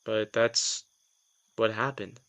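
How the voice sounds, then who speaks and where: A man's voice: fairly low pitch, level tone, slow, a bit bright. A man acting a reluctant vampire in an audio drama, a sardonic, put-upon office worker who tells things in a wry, hesitant, self-deprecating way. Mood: grudging, dry.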